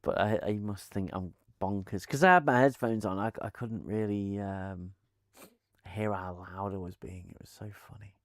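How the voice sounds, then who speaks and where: A man chats loosely through a live stream. His voice sits a little low, and he rambles and restarts often. The lavalier sound is close and dry, with no room. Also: normal speed, no echo. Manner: rambling, chatty, frequent restarts and laughter. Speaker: channel host. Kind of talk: casual live-stream chat. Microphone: lavalier mic.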